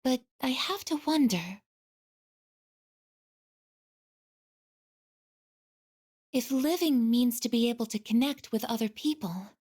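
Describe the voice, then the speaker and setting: A woman voicing a gentle, earnest young female android in a video game, with measured, formal, softly hesitant phrasing. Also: a little high, breathy edge. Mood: wistful. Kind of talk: English video game voice acting.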